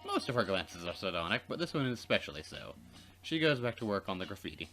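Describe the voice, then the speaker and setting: Man, low voice, lively intonation, let's play narrator, reading game text aloud, casual asides, faint music. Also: fast.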